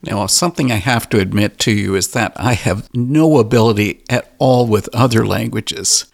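A man interviewing a musician, asking measured, prepared questions. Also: lowish voice, creaky.

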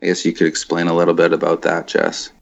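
Man, very deep and gravelly, easygoing interview talk, dull lo-fi audio. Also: completely flat delivery, quick pace. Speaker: band guitarist and vocalist. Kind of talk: casual interview chat.

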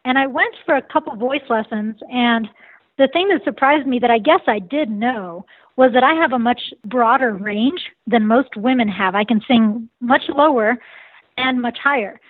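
A woman with a nasal, slightly high voice chats as a guest on an interview show. Her voice comes over a tinny phone line with no room sound at all, so it sounds boxed-in and close. She keeps an easygoing, talkative manner. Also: moderate pace, no echo. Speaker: musician guest. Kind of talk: casual interview chat.

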